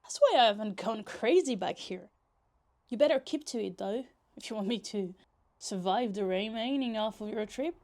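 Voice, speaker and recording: A woman's voice: medium pitch, animated, normal speed, darkish tone, lightly acted. A woman playing a possessive, lovesick yandere young woman in a roleplay ASMR, teasing, pouty and dramatic in casual, conversational speech. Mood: affectionate, clingy.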